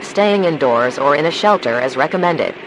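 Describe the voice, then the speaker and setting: Man as formal high-voiced emergency announcer, noisy backdrop. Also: lively intonation. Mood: grave, official. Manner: measured, formal, instructional. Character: calm, official emergency broadcast announcer. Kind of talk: scripted emergency alert narration.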